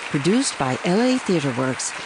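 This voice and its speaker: A woman's voice: deep voice, sing-song, a little slow, a crowd in the background, noisy background. A woman announcer reading a scripted introduction to an audio drama.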